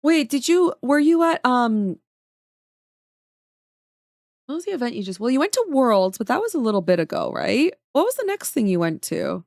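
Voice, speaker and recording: A woman talks to her stream chat as she draws, her voice moving lively up and down in pitch. She often trails off mid-sentence as her attention goes back to the work. The sound is dry and close, with no room echo. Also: moderate pace, creaky, pauses often. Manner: chatty, talks to viewers while working, trails off mid-sentence. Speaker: streamer drawing emotes. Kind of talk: casual chat with chat while drawing.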